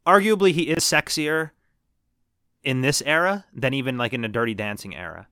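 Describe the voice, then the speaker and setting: A man's voice: medium pitch, moderate pace, bright, forceful tone, clean tone, no room sound, studio quality. A man in his thirties co-hosting a casual movie podcast, joking and swearing freely. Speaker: podcast co-host.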